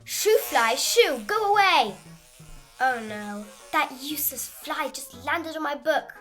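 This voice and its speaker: A woman's voice: high-pitched, lively intonation, slow, fairly bright tone, soft background music, exaggerated voice. A woman voicing a fussy, dramatic, easily panicked male frog in a children's storybook, with theatrical, scolding delivery. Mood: alarmed, frantic. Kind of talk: animated children's storybook read-aloud.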